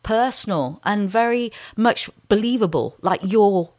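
A woman's voice: medium pitch, lively intonation, unhurried. A woman co-hosting a casual music chat show, talking enthusiastically and rambling through stories with frequent restarts. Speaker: radio co-host.

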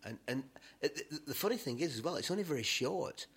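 A man's voice: on the high side, lively intonation, a little fast, fairly bright tone, husky. Rambling male podcast host. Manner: rambling, many fillers and restarts. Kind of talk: casual chat.

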